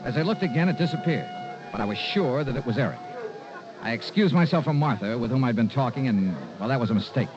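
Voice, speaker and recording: Guy playing hardboiled radio detective, wry clipped narration, medium pitch, music behind, muffled old recording. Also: animated, quick pace, lightly acted. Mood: wry, watchful. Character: wry, hard-boiled freelance insurance investigator narrating his case. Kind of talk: 1960s radio noir narration. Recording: dull vintage radio recording.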